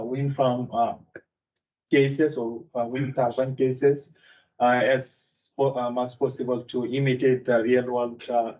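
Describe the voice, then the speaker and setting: Halting man giving a technical lecture. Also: mid-range voice, dark tone. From Bangladesh. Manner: halting, frequent fillers. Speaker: seminar speaker. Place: conference room. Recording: distant mic, dull sound.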